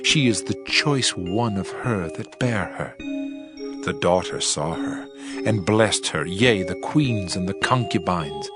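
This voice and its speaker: A man playing a tender, ardent bridegroom in a dramatized Bible reading, with a measured, poetic delivery. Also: low voice, animated, music in the background. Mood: adoring. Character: adoring male lover, tender and ardent bridegroom.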